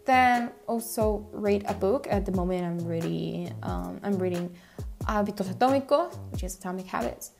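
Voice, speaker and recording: Chatty young woman with a creaky voice. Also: medium pitch, normal speed, faint music behind. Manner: relaxed, chatty, laughs often, many fillers. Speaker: language learning podcaster. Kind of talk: casual monologue about study goals.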